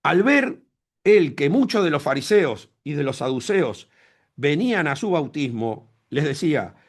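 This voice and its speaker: A man's voice: fairly high pitch, big pitch swings, a little slow, creaky. A man preaching a sermon as pastor, emphatic, repeating phrases and asking rhetorical questions.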